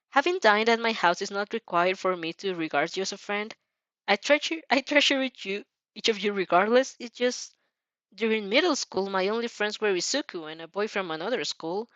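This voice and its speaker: A woman's voice: medium pitch, expressive, brisk, a bit bright, no echo, natural voice. A polite teenage girl acted by a woman reader speaks earnestly, choosing formal words with care. The fan fiction dub is dry and slightly dull in sound. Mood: sincere. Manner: formal, careful phrasing.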